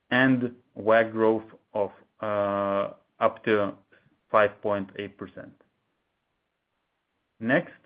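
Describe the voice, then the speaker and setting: A man formally presenting company financial results from prepared remarks in a measured way, as an executive on an earnings call. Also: lowish voice. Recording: narrow, tinny phone-line conference call audio.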